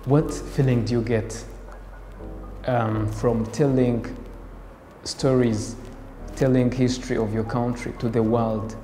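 A man's voice: medium pitch, steady tone, slow pace, dark tone. Male interviewer, young adult, formal delivery, reverberant room with music behind. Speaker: interviewer, host. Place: indoor room with bare walls, seated.

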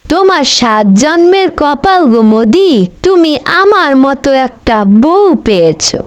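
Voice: high-pitched, sing-song, a little slow. A performer voicing a bossy, vain older woman in a comic cartoon, haughty and scolding. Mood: smug. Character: bossy, vain older female leader and bride-to-be.

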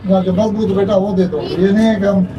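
A man's voice: high voice, a little fast, lo-fi sound. An older man, a street vendor, talking plainly and offering devout blessings. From Pakistan. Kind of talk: casual conversation. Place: street and small shop outdoors. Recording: noisy street ambience with traffic.

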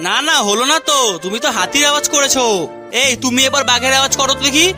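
A woman voicing a bossy children's cartoon quizmaster who instructs and corrects briskly. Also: high-pitched, natural voice. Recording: loud music behind the voice.

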